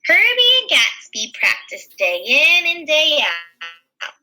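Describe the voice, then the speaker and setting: A woman's voice: high voice, huge pitch swings, slow, pushed and bright, soft background music, poor audio. A woman reading a children's picture book aloud expressively, adding simple explanations as a teacher would. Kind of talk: animated children's read-aloud with asides.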